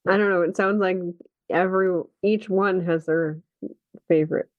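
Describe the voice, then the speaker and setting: A woman's voice: lowish voice, fairly even intonation, moderate pace, no room sound. Female interviewer, dry slightly muffled sound. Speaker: podcast host, interviewer. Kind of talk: casual conversational interviewing. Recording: dull, dry lo-fi audio.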